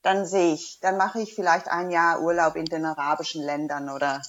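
A woman's voice: low voice, flat delivery, moderate pace, highs cut off. A woman whose first language is Danish, a diving instructor, chatting casually in a hesitant way with many fillers and restarts. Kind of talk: casual interview chat.

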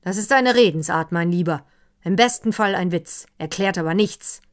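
A woman reading the part of an irritable older nobleman in a storybook, voicing him loud and gruff. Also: fairly low pitch, moderate pace, close to an everyday voice. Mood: exasperated.

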